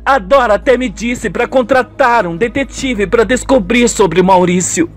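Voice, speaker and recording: A man's voice: very high voice, moderate pace, soft background music, voice pushed up. A man voicing a troubled, suspicious wife and mother in a dramatic, plaintive radio soap style. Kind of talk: radio soap opera acting.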